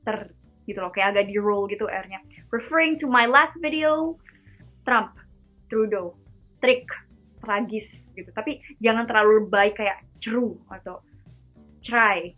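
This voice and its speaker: A chatty woman from Indonesia hosts a casual video lesson at a middle pitch. She stops to sound out sample words slowly, one at a time. Soft music plays faintly behind her. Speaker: video host teaching pronunciation. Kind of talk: casual vlog-style lesson.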